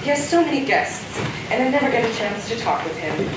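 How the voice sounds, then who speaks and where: A woman's voice: a little high, big pitch swings, a little fast. A woman on stage playing an odd, intense guest who recites in a theatrical, declamatory way. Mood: odd, insistent. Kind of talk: amateur stage play acting. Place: stage of a school theater, distant microphone. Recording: very echoey, noisy hall from far off.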